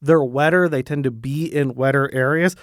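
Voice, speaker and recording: A man's voice: mid-range voice, moderate pace, no echo, studio quality. A man working as a news reporter, explaining a story in a conversational radio report.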